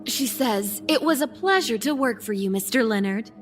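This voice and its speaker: Woman playing interpreter, courteous, upbeat, very varied pitch, faint music, audio drama. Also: expressive, moderate pace, lightly acted. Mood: polite, cheery. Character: polite, upbeat female interpreter smoothing things over.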